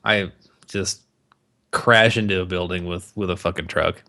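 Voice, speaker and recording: A man's voice: low voice, steady tone, a little slow, pushed and bright. A man co-hosting a podcast in casual banter, joking and ranting with plenty of swearing. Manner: profane, jokey ranting. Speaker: podcast co-host.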